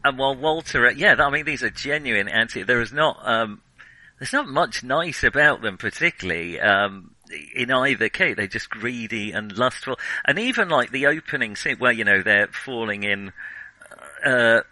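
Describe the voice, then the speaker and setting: A man's voice: mid-range voice, expressive, moderate pace, bright, forceful tone, no echo, low-quality recording. A man co-hosting a podcast, chatting casually about a film, hesitant with many ums and restarts. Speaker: podcast co-host.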